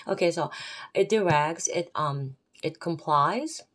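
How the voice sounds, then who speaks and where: Filipino lady talking about faith off the cuff, low voice, wanders off topic a lot. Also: slow pace, a bit bright. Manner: rambling, off the cuff, chatty asides. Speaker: faith vlogger. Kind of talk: unscripted religious talk to camera.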